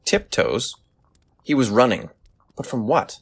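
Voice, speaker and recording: An actor playing a brisk, sceptical Victorian detective in an audio drama, speaking in a formal, inquisitive way. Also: male voice, mid-range voice, sing-song, gravelly, exaggerated voice. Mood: probing, sceptical.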